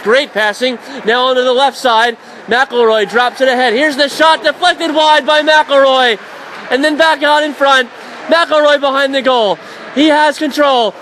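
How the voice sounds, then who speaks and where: High-voiced young man calling hockey. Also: a little hiss or hum. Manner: rapid excited calls rising to shouts on goals. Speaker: play-by-play announcer. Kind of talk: radio hockey play-by-play. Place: arena press box.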